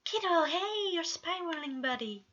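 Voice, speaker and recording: A woman voicing a gentle, fatherly man in a story reading, cheerful, encouraging and soothing. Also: extremely high pitch, lively intonation, unhurried, muffled recording, voice pushed up, heavily acted. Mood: gentle, reassuring.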